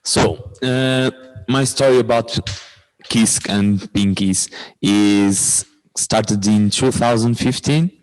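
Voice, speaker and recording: A man with a Portuguese accent speaking informally as a volunteer on a webinar, in halting, simple phrases. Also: fairly low pitch, a little mellow, rough recording. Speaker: short-term volunteer. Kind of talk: informal explanation on a webinar panel.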